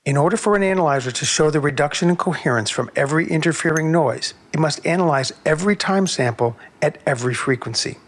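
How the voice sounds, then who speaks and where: A man narrating a technical video on sound measurement in a measured, precise, explanatory way. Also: on the high side, lively intonation.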